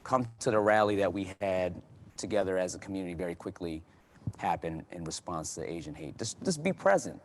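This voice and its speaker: A man with a slightly low voice talks earnestly in a panel discussion. He speaks conversationally and often repeats words or restarts sentences. His voice grows more animated as he goes on. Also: a little fast, a little hiss or hum. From United States. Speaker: panel guest.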